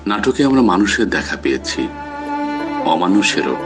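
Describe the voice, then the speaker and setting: Narrator: man, deep register, solemn scripted delivery over clear background music. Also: big pitch swings, moderate pace. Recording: music behind the voice.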